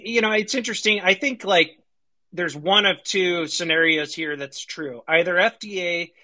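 A man speaking as a guest on a casual panel, relaxed and conversational, with frequent restarts. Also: a little high.

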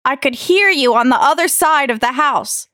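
A brisk, no-nonsense policewoman, played by a woman who gives curt, level orders. Her voice sits slightly high, and she reports facts plainly. The recording is close and dry. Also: lively intonation, pushed and bright, no echo. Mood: firm. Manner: firm, curt. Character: firm female police officer, no-nonsense and brisk. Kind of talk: audio drama acting.

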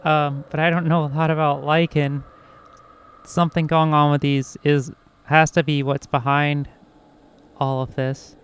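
A man's voice: on the high side, little pitch movement, a little slow, clear, resonant tone. A man talking casually and improvising as a player in a tabletop game, with music behind the voice. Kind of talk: casual in-character improvised chat.

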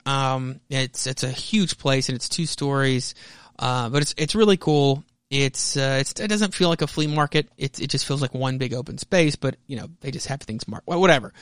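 Rambling male podcast host, slightly high voice. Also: a bit bright, dry recording, studio quality. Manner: halting, self-interrupting, informal. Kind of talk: casual rambling commentary.

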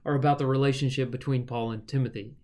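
A man with a mid-pitched voice hosts a Bible study. He explains passages in a measured, conversational way. He shifts between reading verses and commenting on them as a teacher would. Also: fairly even intonation, darkish tone.

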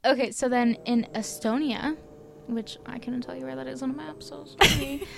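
A woman's voice: high voice, fairly even intonation, moderate pace, gravelly. Casual podcast co-host, woman, from Canada, loose rambling storyteller. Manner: chatty, lots of likes and ums, laughs at own stumbles. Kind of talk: casual chat, telling legends.

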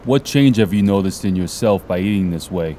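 Deep, mellow-voiced male interviewer. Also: expressive, a little fast, a little hiss or hum. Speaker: podcast host, interviewer. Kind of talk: conversational interview.

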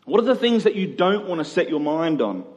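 A man's voice: on the high side, very animated, fairly quick, muffled recording. A middle-aged man preaching in a conversational expository style, using rhetorical questions and emphatic repetition. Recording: long hall reverb.

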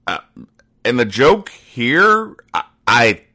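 A man hosting a comedy podcast, explaining things in a deadpan, pedantic, halting way with earnest over-explanation. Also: medium pitch. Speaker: podcast host.

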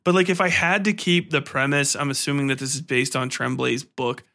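A man's voice: mid-range voice, steady tone, brisk, gravelly, bright, forceful tone. A man hosting a solo podcast review, ranting casually with heavy swearing, slang and exasperated sarcasm. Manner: profane, irreverent, slangy. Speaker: podcast host reviewing a film.

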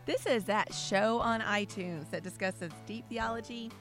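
A woman in her thirties co-hosts a relaxed faith podcast. She is bubbly and teasing, laughs easily, and her voice sits at a middle pitch. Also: measured pace, faint music behind. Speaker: podcast co-host. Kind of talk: casual chat.

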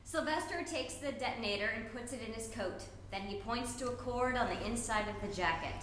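A woman's voice: fairly high pitch, a little fast, fairly bright tone. A woman reading scripted stage directions as narrator at a live play reading. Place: live performance in a room. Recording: roomy live recording, faint noise.

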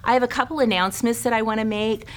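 A woman's voice: on the high side, fairly even intonation, fast. A middle-aged woman, a care ministry director, giving church announcements in an earnest, inviting way.

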